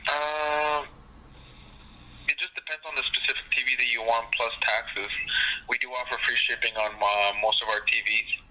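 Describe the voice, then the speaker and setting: A man's voice: medium pitch, steady tone, moderate pace. A polite, hesitant man working as a customer service representative on a phone call. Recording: noisy telephone line.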